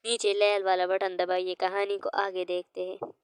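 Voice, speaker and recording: A woman speaks casually and directly to viewers as a channel promoter. Her pitch is average, and her manner is chummy and pleading. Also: little pitch movement, normal speed, clean tone, no room sound, studio quality. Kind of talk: casual subscription appeal to viewers.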